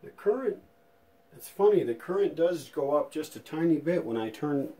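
A man's voice: fairly high pitch, animated, moderate pace, mellow. A man giving a casual hands-on demonstration as a vintage radio restorer, thinking aloud in an informal, tentative way.

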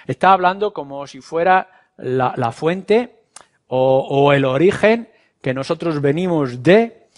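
An older man, in his sixties, speaks with a Castilian accent in a slightly high voice. He preaches like a teacher, explaining step by step and often pausing to correct himself. He is indoors, picked up by a lapel microphone. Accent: Castilian Spanish. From Spain. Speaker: evangelical pastor. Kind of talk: expository sermon, teaching. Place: indoor room, at the front before listeners. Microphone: clip-on lapel microphone.

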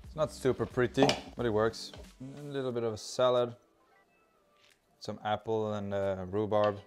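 A man's voice: on the low side, steady tone, normal speed, over music. A man in his thirties with a Swedish accent, chatting cheerfully as he cooks in a home kitchen on a lapel mic. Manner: chatty, cheerful, talks while working with food. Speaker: cooking video host. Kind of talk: casual cooking demonstration. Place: home kitchen with open garden door.

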